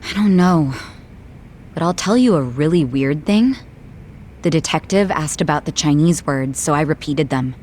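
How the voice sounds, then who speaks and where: Woman acting a young bar hostess, lower pitch, blunt, plain-spoken, game cutscene drama, faint background noise. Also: normal speed, darkish tone, close to an everyday voice. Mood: frank, uneasy. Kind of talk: English video game dub acting.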